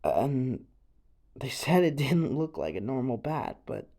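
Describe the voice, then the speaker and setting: Halting, slow-paced young American truth-teller acted by a man. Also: mid-range voice, sing-song, dark tone, heavily acted. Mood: nervous, suspicious. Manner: halting, stammering, rambling, sighing. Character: earnest, rattled young small-town conspiracy truth-teller on radio. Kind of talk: improvised-sounding radio confession roleplay.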